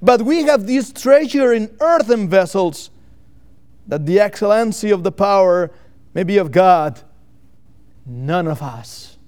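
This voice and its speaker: A man's voice: high-pitched, lively intonation, darkish tone. A man from Catalonia with a Catalan accent preaches a sermon in English as a second language, earnestly repeating key phrases for emphasis. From Catalonia, Spain. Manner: earnest, rhetorical repetition with deliberate emphatic phrases. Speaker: missionary preacher.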